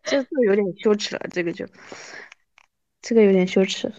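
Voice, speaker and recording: A woman in her thirties hosting a podcast, chatting casually and reminiscing in an animated, self-deprecating way. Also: mid-range voice, fairly quick, no room sound, lo-fi sound. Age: 30s. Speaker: podcast host.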